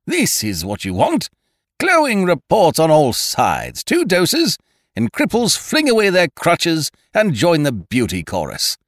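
Guy doing a loud hearty smooth talker from a funny audiobook, gushing and emphatic, pitch jumps all over. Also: wildly swooping pitch, normal speed, no echo, clean studio sound. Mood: booming, salesmanlike. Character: booming, breezy male chancer, effusive and smooth-talking. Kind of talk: humorous audiobook character dialogue.

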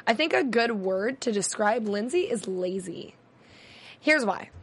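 A young woman hosting a casual recap show, a bit hoarse, talking quickly and chattily. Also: mid-range voice, lively intonation. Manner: chatty, quick asides, laughing. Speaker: host. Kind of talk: casual chat.

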